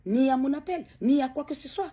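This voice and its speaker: A middle-aged woman, a bereaved mother, giving an emotional personal account in a hesitant way, with many pauses and repeated words. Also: high voice, mellow.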